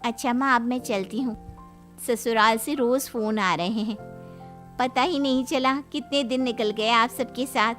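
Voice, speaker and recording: A woman's voice: fairly high pitch, unhurried, fairly bright tone, faint music behind. A woman playing a cheeky, persuasive young sister-in-law and aunt in a comic family story. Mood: concerned. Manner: teasing, coaxing.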